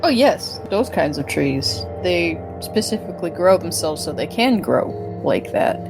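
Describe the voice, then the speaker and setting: Woman, deep pitch, tabletop player, casual, musing, playful interjections, clear music behind. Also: big pitch swings, a little slow, low-quality recording. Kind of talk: casual chat.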